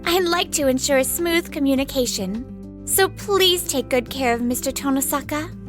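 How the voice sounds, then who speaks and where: A woman's voice: sits high, expressive, normal speed, soft background music. A woman voicing a poised, principled, sweet young female doctor in a manga dub, speaking politely. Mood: professional, pleased. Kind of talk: animated romantic-comedy dub acting.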